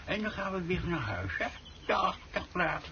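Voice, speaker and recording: A man's voice: high voice, big pitch swings, normal speed. An actor playing a cheerful, nearsighted old grandfather in a comic radio play, muttering and repeating himself obliviously. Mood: coaxing, doting. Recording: dull lo-fi radio recording with noise.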